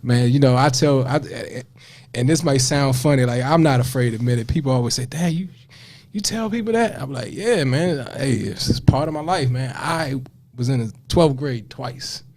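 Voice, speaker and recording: A man's voice: mid-range voice, normal speed, a little mellow. A man with a Southern US accent in casual podcast chat, laid-back, often tagging "man" onto his sentences. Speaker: podcast guest, radio show host.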